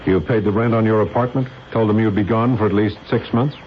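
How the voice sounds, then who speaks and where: An actor playing a cold, determined scientist husband who methodically plots murder in a radio drama. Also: male voice, lowish voice, fairly even intonation, a little fast, natural voice. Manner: methodical, matter-of-fact. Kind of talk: 1970s radio drama acting. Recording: dull, hissy old radio recording.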